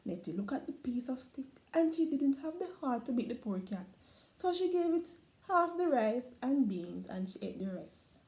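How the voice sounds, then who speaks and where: A woman with a Caribbean accent reading a folktale aloud, expressive and prone to laughs and asides. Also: high voice, normal speed, reverberant, low-quality recording. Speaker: storyteller.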